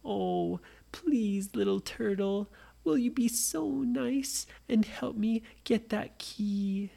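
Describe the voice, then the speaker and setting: A woman's voice: takes it slowly, dark tone, full character voice. A woman voicing a sweet, eager young girl who coaxes and gushes with praise. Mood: sweet, pleading. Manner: coaxing, gushing, high and breathy praise.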